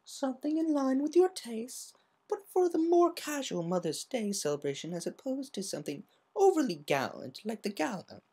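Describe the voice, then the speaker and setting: A woman's voice: on the high side, big pitch swings, normal speed, mellow, slightly rough, voice pushed up, heavily acted. A woman voicing a refined, fashion-minded female pony who speaks politely with careful wording.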